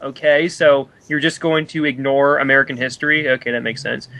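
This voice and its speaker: A man's voice: mid-range voice, steady tone, a little fast, creaky, pushed and bright, low-quality recording. A man co-hosting a review, giving sarcastic, argumentative commentary on pieces he reads out. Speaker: reviewer, co-host. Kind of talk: dramatic reading with mocking commentary.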